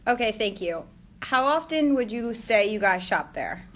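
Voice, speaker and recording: A young woman in her twenties leads a student focus group. Her voice sits a little high, and she asks her questions politely, with hesitant fillers between them. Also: lively intonation, unhurried, a bit bright.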